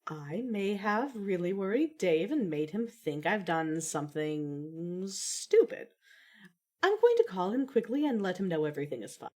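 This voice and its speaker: A woman's voice: on the low side, big pitch swings, a little slow, darkish tone. A woman playing a composed, dry-witted young daughter with a formal, slightly wry manner in amateur audio fiction.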